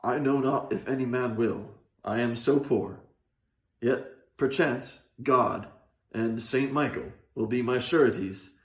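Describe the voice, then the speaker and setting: A man's voice: medium pitch, takes it slowly. A man voicing a poor, humble peasant who pleads in archaic, deferential speech for a folk tale. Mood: pleading, humble. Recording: roomy, echoing sound.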